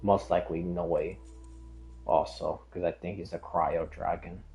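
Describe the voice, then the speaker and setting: Slow, low-voiced man rambling on a stream. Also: fairly even intonation, gravelly, dark tone, highs cut off. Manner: rambling, hesitant, often trailing off and changing tangent. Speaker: game streamer. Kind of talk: casual rambling live-stream chat. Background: background music.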